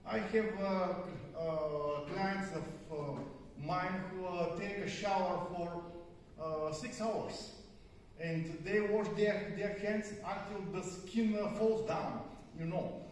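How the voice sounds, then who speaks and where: Bulgarian-accented male speaker, slow and halting with lots of fillers, mic far off in an echoey conference hall. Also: sits high, fairly even intonation, a little hiss or hum. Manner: halting, many fillers and stutters. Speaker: psychotherapist speaker. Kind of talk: conference talk. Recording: distant, echoey hall recording.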